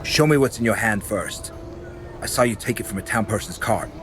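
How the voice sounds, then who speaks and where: An actor playing a stern, sardonic inquisitor in a grim medieval fantasy video game, with a gruff, dry, commanding manner. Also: male voice, on the low side, lively intonation, a little fast, a bit bright, slight background noise. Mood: stern, confronting.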